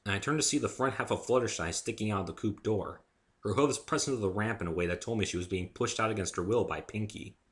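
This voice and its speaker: A man's voice: fairly low pitch, fast. A man reading a first-person story aloud as a dramatic narrator.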